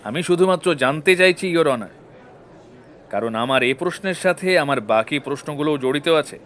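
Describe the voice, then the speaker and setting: An actor playing a courteous, methodical defence lawyer questioning a witness in a courtroom audio drama. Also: male voice, a little high, fairly quick, fairly bright tone, natural voice. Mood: polite probing. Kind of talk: Bengali audio-story courtroom acting.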